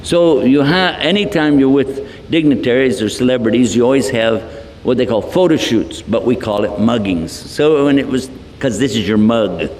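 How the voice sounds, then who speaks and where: A man's voice: medium pitch, moderate pace, a little mellow. A Texan man giving a humorous, folksy public lecture, telling stories with joking asides. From Texas. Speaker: Islamic lecturer. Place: large multipurpose hall. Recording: reverberant hall from a distance.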